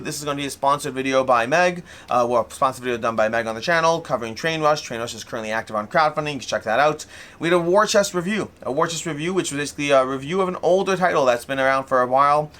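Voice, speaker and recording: A man presents a casual recap as the host of a hobby review channel. His voice sits at an average male pitch and moves at a rapid clip. He is talkative and unscripted, rambling a bit as he qualifies and rephrases his own statements. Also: a bit bright.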